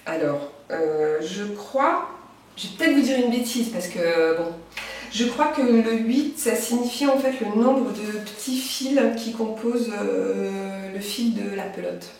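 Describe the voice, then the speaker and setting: A woman's voice: medium pitch, measured pace, gravelly, recorded from a distance, a little hiss or hum. Hesitant woman with a cold in an echoey room. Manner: hesitant, many fillers, self-deprecating. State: has a cold. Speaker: knitting and sewing podcaster. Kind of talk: casual chat.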